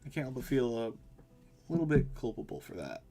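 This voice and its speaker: A man as a gentle, worried mentor. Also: mid-range voice, sing-song, a little fast, soft background music. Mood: concerned. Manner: soft-spoken, earnest, apologetic. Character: gentle, worried older mentor and best friend, male. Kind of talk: visual novel dialogue read aloud.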